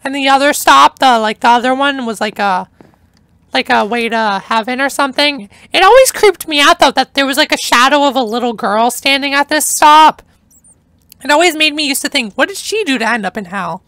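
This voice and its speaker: A young woman chatting casually through a game playthrough, hoarse from talking, rambling and enthusiastic. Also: high voice, animated, a little fast, a bit bright, no echo. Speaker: gameplay narrator. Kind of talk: casual let's-play commentary.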